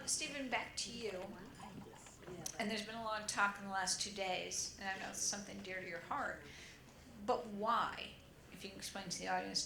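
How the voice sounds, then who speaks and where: A woman's voice: mid-range voice, gravelly, sharp tone, a little hiss or hum. A woman moderating a conference panel with brief, friendly prompts to the speakers. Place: conference hall. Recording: roomy hall with faint ambience.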